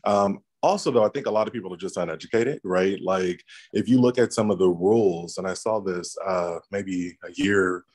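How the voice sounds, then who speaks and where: A man's voice: low-pitched, normal speed, few pauses, darkish tone. A man sharing his views in a casual video-call discussion, thoughtful and conversational, often checking in with "right?". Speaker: workshop participant. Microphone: laptop or phone on a video call.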